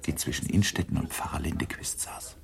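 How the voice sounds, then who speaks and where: Narrator for literary radio drama: male, very low, gravelly, measured, with dry irony. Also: whispered.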